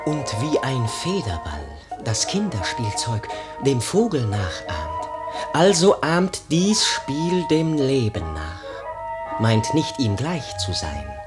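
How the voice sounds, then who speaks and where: An actor voicing a lofty prologue speaker, reciting theatrical verse in a deliberate, declamatory way. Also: mid-range voice, very animated. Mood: lofty, playful. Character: lofty poetic prologue speaker presenting a play. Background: loud music.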